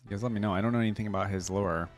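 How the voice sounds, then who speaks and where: A man's voice: fairly low pitch, steady tone, racing, soft background music. A man giving casual, slangy reaction commentary as a video host, with appreciative interjections.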